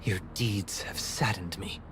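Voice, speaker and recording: A male actor playing a solemn, righteous young family leader in a video game, speaking formally and with measured, scripture-like phrasing. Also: low voice, a bit bright, a little hiss or hum, poor audio. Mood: cold disdain. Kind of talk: dramatic video game voice acting. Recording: music under the voice.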